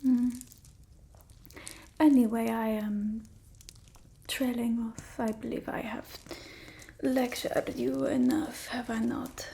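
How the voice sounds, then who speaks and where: A woman voicing a haughty, fussy vampire mistress in a breathy ASMR roleplay, with an imperious, complaining manner. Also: fairly high pitch. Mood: lecturing. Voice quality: soft, breathy whisper. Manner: slow, imperious, fussy complaining with drawn-out words. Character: haughty, fussy female vampire mistress scolding her human familiar. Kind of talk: ASMR roleplay, mostly whispered.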